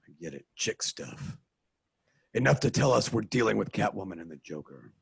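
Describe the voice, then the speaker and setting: Batman for a radio play: a man doing a low, husky, dry-voiced caped crusader who reasons with mock gravity. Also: big pitch swings, dry recording, exaggerated voice. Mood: deadpan. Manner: deliberate, mock-serious deductions. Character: Batman, grave adult male crime-fighter, smug deductive. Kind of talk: amateur radio play reading. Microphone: home mic, dry room.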